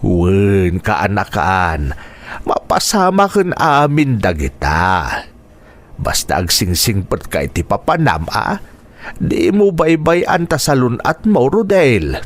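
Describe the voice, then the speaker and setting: A man's voice: fairly low pitch, sing-song, slow pace, full character voice. A man playing a kindly older uncle in a radio drama, speaking in a fatherly, reassuring way. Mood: tender, resigned.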